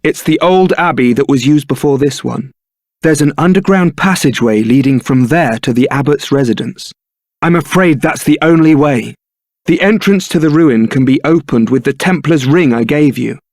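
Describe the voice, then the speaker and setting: An actor playing a young templar knight in a video game, suave, wry and brooding, with a theatrical, articulate delivery. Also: male voice, on the high side, normal speed, no echo, clean studio sound. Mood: earnest, hushed urgency. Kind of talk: video game voice acting, dramatic scripted dialogue.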